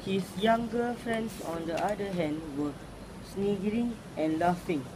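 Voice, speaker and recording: A woman's voice: mid-range voice, a little slow. A woman reading a folk tale aloud. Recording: clearly noisy recording with ambient sound.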